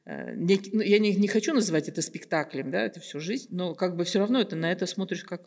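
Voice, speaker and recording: An older woman from Kazakhstan, a guest artist answering questions thoughtfully, with many pauses and hesitant fillers. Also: on the low side, sing-song, creaky, a little mellow. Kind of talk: reflective interview talk. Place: bright room with plants, seated at table. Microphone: table microphone on stand. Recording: roomy reverberant room.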